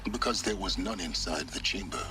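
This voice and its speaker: An actor playing a grave, bitter, knowing guide in a video game, speaking in a measured, solemn way. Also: male voice, deep voice, over music, natural voice. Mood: cool, terse. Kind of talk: dramatic video game acting.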